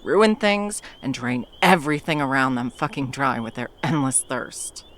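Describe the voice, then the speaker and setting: A woman's voice: rumbling low, animated, normal speed, a bit bright, a little hiss or hum. A woman voicing a centuries-old female monster hunter in an ASMR roleplay. She talks bluntly and swears a lot, then drops into tender murmurs. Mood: angry, protective.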